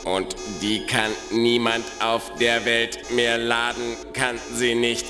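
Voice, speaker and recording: A man playing a dour computer virus henchman who repeats his own phrases deadpan in a children's radio play. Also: medium pitch, slow pace, over music, natural voice. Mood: glum deadpan.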